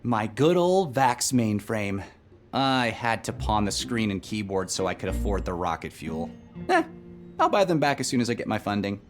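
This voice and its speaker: A man's voice: lowish voice, very animated, moderate pace, soft background music. An actor playing an eager, proud young male engineer and rocket inventor in a video game, with a wry, casual manner. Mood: reflective, subdued. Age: young adult. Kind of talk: video game character dialogue.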